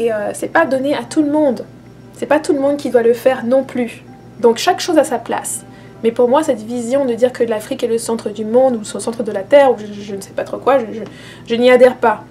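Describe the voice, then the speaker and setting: A woman's voice: a little high, dark tone. A woman speaking earnestly and reassuringly in a casual monologue, often repeating and restarting her words. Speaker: spiritual vlogger. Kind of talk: casual spoken monologue to camera.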